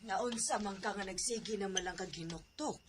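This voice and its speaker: A woman acting a practical middle-aged mother in a radio drama, husky and matter-of-fact. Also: medium pitch, normal speed, background noise, close to an everyday voice. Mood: concerned. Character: practical middle-aged mother counseling her grown son.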